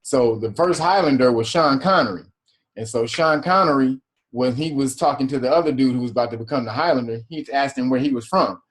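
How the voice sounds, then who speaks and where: A man leading an informal study class in a mid-range voice. He reads definitions aloud, then riffs on them in loose slang, swearing and laughing as he goes. His delivery is relaxed and conversational. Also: medium pitch, brisk. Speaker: class teacher and host. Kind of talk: casual lecture reading definitions aloud.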